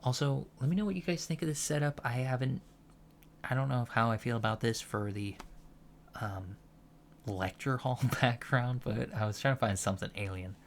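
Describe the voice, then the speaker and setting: A soft-spoken man, an ASMR creator, talking casually and a little hesitantly to his viewers. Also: mid-range voice, normal speed, creaky, a little hiss or hum. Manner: hesitant, informal.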